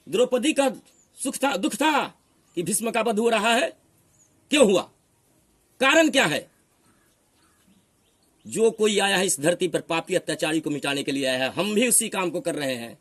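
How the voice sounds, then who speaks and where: Husky, high-pitched Bihari man preaching. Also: fairly bright tone. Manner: assertive, admonishing, rhetorical questioning. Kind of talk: heated argument, preaching.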